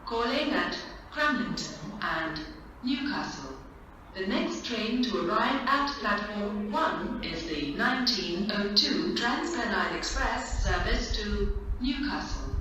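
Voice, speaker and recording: Female station announcer, measured and slow, heard in heavy echo over a platform PA. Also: medium pitch, expressive, bright, forceful tone, far from the mic. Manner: measured, list-like delivery in separate phrases. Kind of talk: automated scripted train announcements. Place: railway station platform, over public address speakers. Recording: echoey station PA from afar, faint wind noise.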